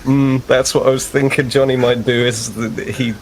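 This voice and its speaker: A man's voice: medium pitch, flat delivery, normal speed, slight background noise. A man playing a tabletop game, casually talking through what his character does.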